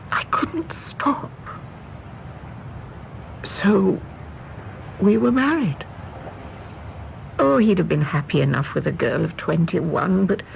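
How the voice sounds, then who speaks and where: An actress playing a weary, self-reproachful middle-aged widow with an English Received Pronunciation accent in a radio drama. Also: female voice, lowish voice, vocal fry, a little mellow, a little hiss or hum, heavily acted, poor audio. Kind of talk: British radio drama acting.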